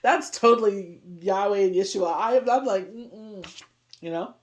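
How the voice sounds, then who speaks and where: A woman's voice: mid-range voice, unhurried. Middle-aged Canadian woman, chatty tarot reader, rambles and leans on fillers. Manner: rambling, heavy on "you know what I mean". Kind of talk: casual daily tarot reading.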